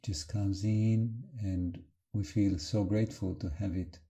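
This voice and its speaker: A man leading an unscripted guided meditation as a teacher, calm and gentle, with halting phrases and long pauses. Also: on the low side, creaky.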